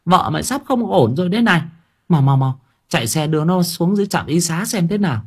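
A man's voice: medium pitch, sing-song, unhurried, darkish tone. A man voicing a worried older mother-in-law who scolds and coaxes. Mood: alarmed. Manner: scolding, fussing, coaxing.

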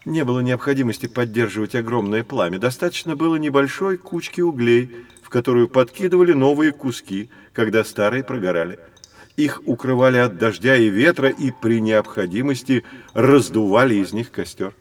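An actor playing a learned teacher who explains history to children in a storytelling manner, in an educational audio drama. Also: male voice, mid-range voice, moderate pace, lightly acted. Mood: explanatory.

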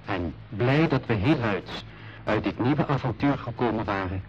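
An actor narrating a radio adventure as one of its travellers, in measured, literary storytelling. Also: male voice, fairly low pitch, animated. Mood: brisk, relieved. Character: composed male adventurer recounting his own tale. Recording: dull radio recording with clear noise.

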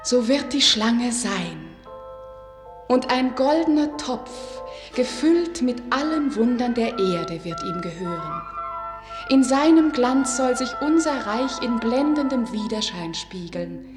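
A woman playing an enchanting young female spirit, lyrically and dramatically telling a tale in a radio drama. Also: fairly high pitch. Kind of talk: German radio drama storytelling. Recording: long echoing reverb. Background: music.

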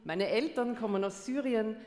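A woman's voice: a little high, moderate pace, a little mellow. A woman from Austria with a Viennese accent, co-presenting with comedic, playfully indignant stage banter at a carnival concert. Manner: teasing, theatrical, playfully indignant. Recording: live hall reverb.

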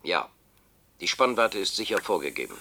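A low but bright, forceful male voice, as an efficient technical consultant in a radio drama. He is formal, precise and courteous. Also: sing-song, moderate pace, heavily acted. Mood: precise, businesslike. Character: efficient male brain-bank consulting engineer, polite and businesslike. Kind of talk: German radio play acting.

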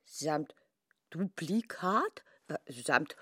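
An actress in an experimental radio play voicing an eccentric, excitable woman who declaims absurd word chains in an exaggerated, drawn-out way. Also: low-pitched, husky, dry recording, heavily acted. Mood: theatrical.

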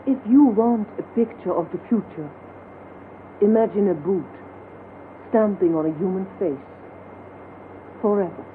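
An actress playing a grave, measured female narrator in a scripted radio satire about war. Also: medium pitch, natural voice. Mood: solemn. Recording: tinny old radio recording, faint hiss.

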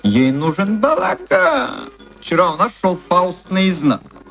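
A woman's voice: fairly low pitch, huge pitch swings, slow, creaky, faint music behind, voice pushed down, full character voice. Woman putting on a swooping, aggrieved theatre manager. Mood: bitter, despairing. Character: gloomy, self-important theatre manager, a grumbling man.

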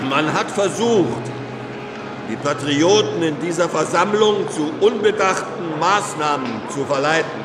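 An actor playing a suspicious, calculating revolutionary deputy giving an insistent, accusing speech in a radio drama. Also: male voice, on the high side, unhurried, over music, natural voice. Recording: roomy, distant, heavily noisy hall.

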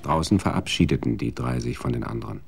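Deep gravelly narrator guy, dry measured telling, faint music under him. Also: animated. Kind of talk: scripted narration.

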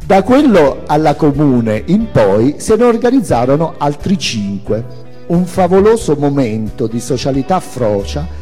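A man's voice: fairly high pitch, sing-song, unhurried, mellow, long reverb tail, faint music behind. A man giving a theatrical reading of autobiographical prose as its author and narrator, with dramatic, emphatic phrasing.

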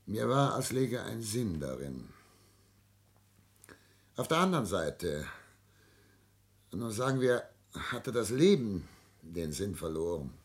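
Older male melancholy, cultured widower in a radio drama; theatrical, deliberate, widely swinging intonation. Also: on the low side, very animated, heavily acted. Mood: grave, confiding. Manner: theatrical, deliberate, literary phrasing. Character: melancholy, cultured ageing widowed patriarch, brooding and expansive. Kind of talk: German radio play acting.

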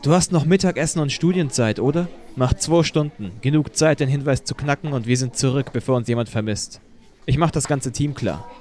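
A cocky teenage gamer boy, played by a man in an audio drama. His voice sits at an average male pitch. He speaks in slangy, urging phrases, like a persuasive ringleader. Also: brisk, a little mellow, close to an everyday voice. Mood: eager, urging.

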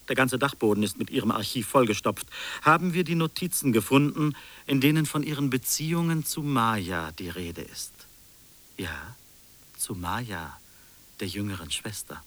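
An actor playing a mocking, superior interrogator in a literary radio drama, theatrical, moving between soft irony and sharp emphasis. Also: male voice, mid-range voice, close to an everyday voice. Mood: insinuating, mocking. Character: sardonic, accusing male interrogator, mocking and superior.

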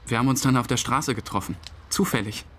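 A man's voice: mid-range voice, fast talker, fairly bright tone, slight background noise, natural voice. An actor playing a shy, awkward young man in a radio play, speaking in an understated, hesitant way. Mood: reflective. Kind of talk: naturalistic radio play acting, interview-like narration.

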